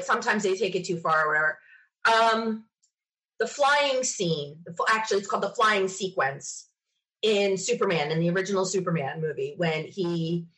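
A woman chatting casually as an interview guest, retelling things in an enthusiastic, animated manner. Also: medium pitch, low-quality recording. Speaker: podcast interview guest, sci-fi podcaster. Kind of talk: casual conversational storytelling.

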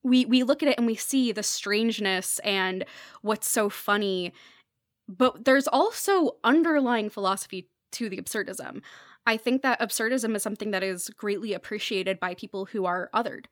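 A woman's voice: medium pitch, normal speed, no room sound, clean studio sound. A woman speaking as a podcast guest, giving thoughtful conversational commentary in an earnest, reflective and emphatic way.

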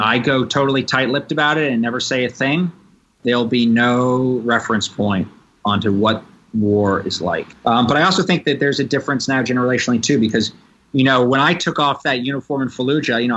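A man, a novelist and Marine veteran, speaking as a podcast guest in a measured way with many "you know" fillers. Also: mid-range voice, a bit bright, husky, highs cut off. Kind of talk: podcast interview.

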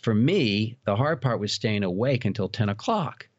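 A man's voice: lowish voice, expressive, moderate pace. An American man in his sixties reminiscing and explaining in a conversational interview. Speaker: podcast guest, haunted house operator. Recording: dull, roomy, echoey sound.

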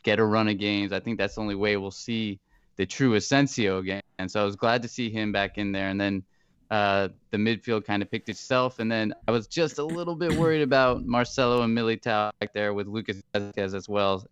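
A man's voice: fairly low pitch, fairly even intonation, a little fast, clean tone, no room sound. A man giving casual football analysis as a podcast co-host, on a dry, slightly dull recording.

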